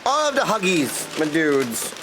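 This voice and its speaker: A man's voice: fairly high pitch, huge pitch swings, a little slow, background noise. A man chatting casually and goofily with viewers in slangy language while livestreaming a game. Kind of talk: casual livestream chat over gameplay. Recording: music behind the voice. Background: game music.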